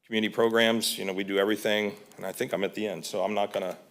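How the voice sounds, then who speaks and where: A man's voice: on the low side, flat delivery, racing, fairly bright tone. A man presenting to a county board as a volunteer, talking informally with fillers and restarts. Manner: conversational, many fillers and restarts. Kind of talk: public meeting presentation. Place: county commission meeting room.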